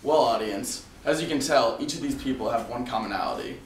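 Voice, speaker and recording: A man's voice: mid-range voice, a little fast, lightly acted. A man playing a forum co-host, presenting guests formally in an amateur dramatization. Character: male forum co-host introducing lost souls. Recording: echoey room, distant mic, faint noise.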